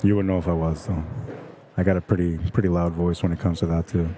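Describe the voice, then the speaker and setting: Man, deep voice, calm and easygoing, soothing tone, reading chat on a pool stream. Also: fast, a little hiss or hum. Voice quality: soothing, relaxing voice. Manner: calm, easygoing and chatty, with soft laughs. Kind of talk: casual live-stream commentary, reading viewer comments aloud. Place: pool tournament venue, indoors.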